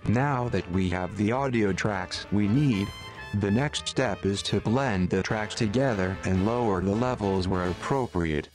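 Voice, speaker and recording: A man reading a scripted tutorial voice-over in a measured, instructional manner. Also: fairly low pitch, soft background music. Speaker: tutorial narrator.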